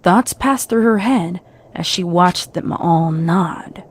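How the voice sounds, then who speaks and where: A woman's voice: fairly low pitch, slow. A woman reading scripted fiction as a narrator, in a dramatic storytelling style.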